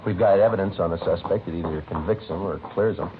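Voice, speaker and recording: An actor playing a steady, matter-of-fact police sergeant in a vintage radio drama, terse and understated. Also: male voice, low-pitched, fast talker, close to an everyday voice. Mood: businesslike. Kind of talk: 1950s radio drama acting. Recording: noisy, tinny old radio recording.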